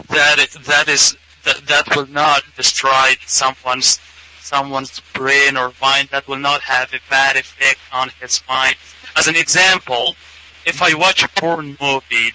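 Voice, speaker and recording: A male caller with an Afghan accent, speaking haltingly as he puts questions to a host, repeating and rephrasing. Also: medium pitch, sharp tone, poor audio.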